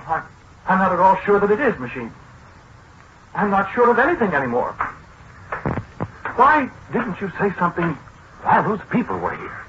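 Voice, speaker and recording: A man's voice: high voice, big pitch swings, moderate pace, long pauses. An actor playing a washed-up, hesitant, wistful man who stammers, in a 1940s radio drama. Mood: flustered. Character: washed-up, flustered man confiding in his machine. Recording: muffled, noisy 1940s radio recording.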